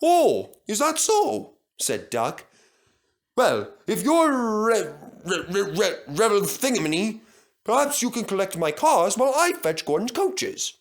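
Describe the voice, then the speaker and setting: A man's voice: high-pitched, sing-song, a little slow, pitched above the performer's own voice. A man voicing a shrewd, cheeky male tank engine who sounds innocent. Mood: mocking.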